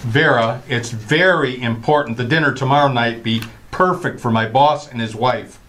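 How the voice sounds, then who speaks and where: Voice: medium pitch, moderate pace, a bit bright, soft background music, natural voice. A performer playing a harried, sarcastic husband and father, dry and impatient, in an old-time radio comedy.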